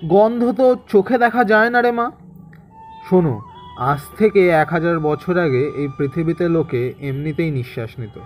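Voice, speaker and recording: A man playing a gentle old grandfather in an audio story, patiently and tenderly explaining a lost past to a child. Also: on the high side, moderate pace, soft background music, close to an everyday voice. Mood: gentle, wistful.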